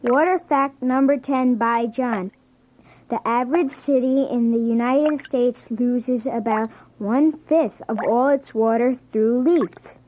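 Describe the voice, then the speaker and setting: A woman acts out an earnest schoolchild in a children's read-along. The high voice recites facts slowly and with careful deliberation. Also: warm tone, slight background noise, low-quality recording.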